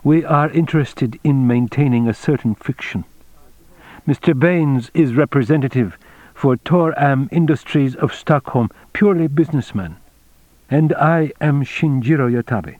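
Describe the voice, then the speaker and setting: A man voicing an elderly Japanese general in an audiobook, fatherly and shrewd, with formal, measured phrasing. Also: medium pitch, mellow, no echo, highs cut off, voice pushed up, natural voice. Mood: explanatory, composed.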